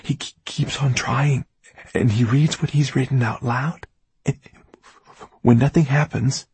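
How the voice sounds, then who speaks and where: A man's voice: medium pitch, steady tone, unhurried, husky, no room sound, voice pushed up. A man voicing a nervous, evasive, mild-mannered man in an audiobook, stammering and hesitant. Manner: hushed, hesitant, timid.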